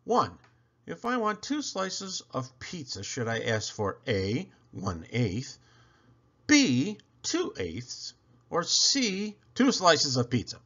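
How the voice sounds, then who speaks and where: A man reading a picture book aloud in an animated storytelling style, with theatrical emphasis on questions and lists. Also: mid-range voice, takes it slowly, slightly rough, highs cut off. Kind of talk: animated children's read-aloud narration.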